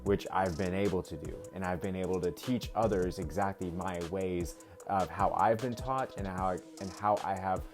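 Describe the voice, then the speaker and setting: A man in his thirties talks casually to his viewers, his voice sitting a bit low. He rambles, circling back with fillers and repeated words. The room rings with echo, and soft music plays faintly underneath. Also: steady tone, normal speed. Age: 30s. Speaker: vlogger. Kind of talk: casual talk to camera. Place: indoors at home work space.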